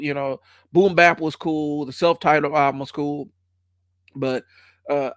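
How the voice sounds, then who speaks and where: A man's voice: on the high side, no echo, studio quality. A man in his forties with the sniffles, hosting a solo stream and talking casually, with many fillers. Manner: conversational, rambling with many fillers. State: stuffy, has the sniffles. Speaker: podcast host.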